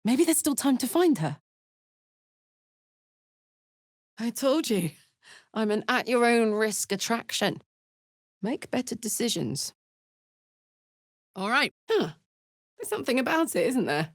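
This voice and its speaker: A woman voicing a brash, warm-hearted tiefling warrior in a video game, with blunt, earthy soldierly talk. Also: fairly high pitch, very animated, measured pace, pauses often, no room sound, clean studio sound. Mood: brisk. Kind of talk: video game character voice acting.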